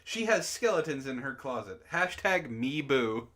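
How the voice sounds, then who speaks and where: A man's voice: mid-range voice, big pitch swings, measured pace, sharp tone. A man hosting a read-aloud, narrating casually and breaking off for sardonic, profane asides. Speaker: book club host reading a story aloud with commentary.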